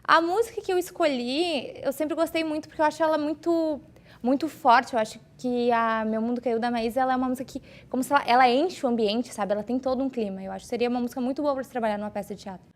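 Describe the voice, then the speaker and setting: A woman's voice: high-pitched, fast. A woman, an actress talking in an interview about a theatre production. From Brazil. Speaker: stage actress.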